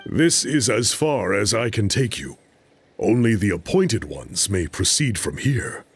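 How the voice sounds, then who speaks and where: An actor playing a young male guide in a video game, talkative and self-effacing, explaining things with a slightly husky voice. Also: on the low side, big pitch swings, measured pace, a little hiss or hum. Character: young male guide, tradition-respecting, graffiti-loving, talkative. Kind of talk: video game dialogue acting.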